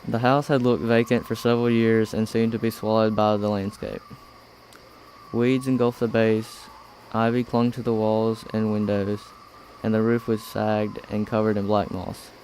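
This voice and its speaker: A man's voice: lowish voice, flat delivery, normal speed, a little mellow, slight background noise, low-quality recording. A young man reading scripted story narration for an amateur radio play. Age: teenager or young adult.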